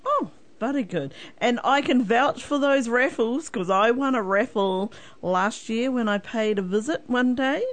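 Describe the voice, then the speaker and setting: A woman's voice: a little high, animated, measured pace. A New woman co-hosting a radio show, chatting in a friendly, chuckling way. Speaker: radio co-host.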